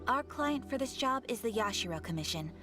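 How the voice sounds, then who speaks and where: An actress playing a courteous, formal receptionist who briefs adventurers in a measured tone, over game music. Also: female voice, on the high side, lively intonation, close to an everyday voice. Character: formal adult woman guild receptionist. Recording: game audio with music behind.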